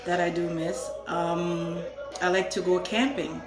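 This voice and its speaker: A woman's voice: fairly low pitch, level tone, unhurried, roomy sound, loud music behind the voice, low-quality recording. A young adult woman from Haiti, raised in Florida, chatting casually and laughing at herself. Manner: talkative, self-deprecating, laughing. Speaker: co-host. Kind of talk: casual chat.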